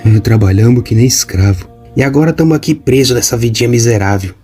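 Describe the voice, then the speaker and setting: A man voicing a bitter male worker, complaining, slangy, dark tone. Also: medium pitch, lively intonation, quick pace, soft background music, natural voice.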